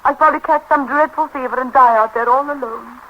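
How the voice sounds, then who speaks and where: An actress playing a flighty, self-dramatizing, worldly English wife with a theatrical, flippant manner, in a 1930s radio comedy style. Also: female voice, high voice, a little fast, dull audio. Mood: self-pitying. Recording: hissy 1930s radio broadcast.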